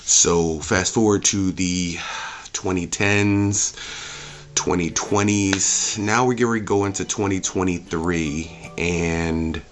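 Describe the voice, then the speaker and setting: A man's voice: low voice, steady tone, slow pace. A man hosting a review channel, speaking off the cuff in a blunt, emphatic, opinionated rant full of rhetorical questions and swearing. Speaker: host of a movie and game review channel.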